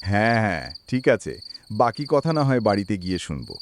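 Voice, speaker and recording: A man's voice: on the low side, sing-song, moderate pace. Ghost cartoon uncle male actor: eerie, familiar, coaxing, hugely varied intonation, slight insect noise. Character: strange, tired uncle luring his nephew home.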